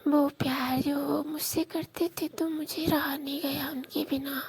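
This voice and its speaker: A woman telling an intimate confessional story in a hushed, breathy and halting way. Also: sits high, little pitch movement. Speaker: storyteller in a staged call.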